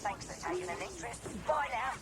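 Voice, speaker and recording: Casting: a performer playing a dim, blustering alien guard, easily swayed, middling pitch, for sci-fi comedy with heavy music underneath. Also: lively intonation, quick pace, lightly acted. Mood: hesitant, matter-of-fact. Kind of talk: amateur sci-fi comedy audio drama.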